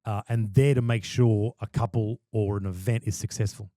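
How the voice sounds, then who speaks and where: A man's voice: on the low side, steady tone, normal speed, dark tone, no room sound, studio quality. A man, a wedding MC and podcast guest, talking passionately and emphatically, often repeating words as he starts a thought. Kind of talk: casual podcast chat.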